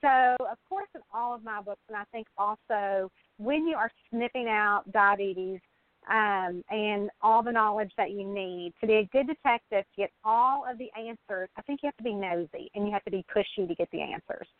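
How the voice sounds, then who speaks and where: A woman's voice: medium pitch, moderate pace, dry recording. Effusive woman on a narrow phone line. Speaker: guest, mystery novelist. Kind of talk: casual interview chat.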